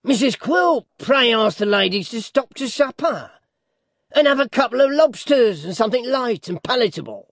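A malicious storybook dwarf, pitched high and full of gleeful mockery. He lays on false courtesy and stretches his words to taunt. The sound is close and dry. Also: very animated, gravelly, a bit bright, no room sound, heavily acted. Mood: gleeful, mocking. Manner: mock-courteous, taunting, drawn-out emphatic phrasing. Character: grotesque malicious dwarf husband, gleefully mocking.

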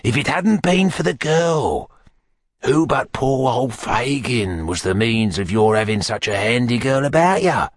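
A wily old gang boss for an audiobook. The voice climbs high and swoops about as he wheedles and pleads, all submissive scheming, in a dry, close recording. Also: huge pitch swings, measured pace, breathy edge, no echo, heavily acted. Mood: submissive wheedling. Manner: ingratiating, pleading. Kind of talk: audiobook character acting.

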